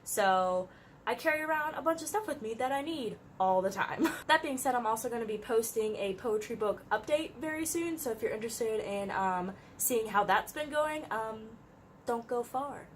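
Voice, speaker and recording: A woman's voice: on the high side, animated, moderate pace, slight background noise. A young woman with a slightly congested voice, chatting casually to the camera as a vlogger, peppering her talk with "like" and little laughs. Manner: chatty, uses "like" often, light laughs. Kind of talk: casual chat. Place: her room.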